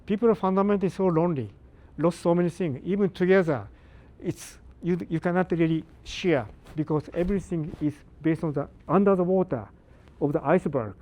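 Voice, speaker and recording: Older man, halting lecture. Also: on the high side, mellow. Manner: halting non-native English with many pauses and restarts; engages the audience with questions. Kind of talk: live academic talk to an audience.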